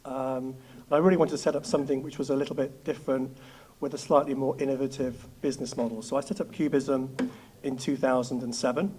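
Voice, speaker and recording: A man from Spain giving a prepared business talk to an audience, explaining his points in a measured, structured way. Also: mid-range voice, fairly even intonation, a little mellow, slight background noise. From northwest Spain. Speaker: law firm founder giving a talk.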